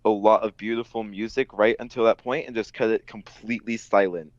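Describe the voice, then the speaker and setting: A man's voice: lowish voice, steady tone, a little fast, no room sound. A man chatting casually as a podcast panelist, through a dull, lo-fi microphone. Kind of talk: casual group chat. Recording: dull, dry, lo-fi microphone sound.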